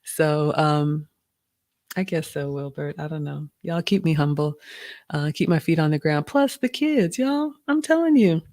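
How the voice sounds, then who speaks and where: A middle-aged woman with a slightly deep, raspy voice, casually hosting a live stream and reading viewer questions aloud. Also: measured pace, warm tone, dry recording, studio quality.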